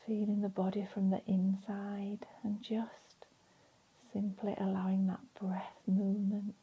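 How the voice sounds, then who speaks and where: A woman leading a guided relaxation in a soft, breathy, soothing voice, leaving long pauses between phrases. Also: medium pitch, monotone, highs cut off, slight background noise. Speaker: meditation and relaxation instructor.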